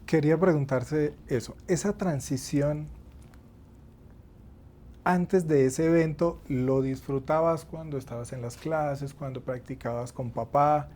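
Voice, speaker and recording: A man interviewing a musician, asking friendly questions in a gentle, halting way. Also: a little high, fairly even intonation, slight background noise.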